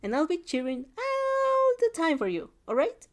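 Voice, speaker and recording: A woman's voice: very high voice, wildly swooping pitch, moderate pace, pitched above the performer's own voice, heavily acted. A woman reading a story aloud, voicing a bubbly, fiercely supportive teenage girl in an exuberant, emphatic, teasing way. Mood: cheering, excited. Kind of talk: character dialogue in amateur story reading.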